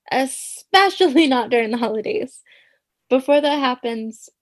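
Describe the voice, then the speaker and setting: A woman playing a sassy, wry best friend in a radio play, talking in a slangy, conversational way. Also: high voice.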